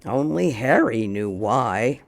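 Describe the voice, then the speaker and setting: A storyteller reading a children's picture book aloud in an expressive way, drawing out words for emphasis. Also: mid-range voice. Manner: expressive, slow, drawn-out emphasis. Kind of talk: animated children's read-aloud.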